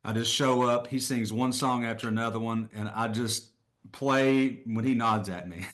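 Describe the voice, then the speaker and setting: A man's voice: mid-range voice, fairly even intonation, moderate pace, fairly bright tone. A middle-aged man, a guest musician, chatting casually and rambling a little, laughing often. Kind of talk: casual conversation.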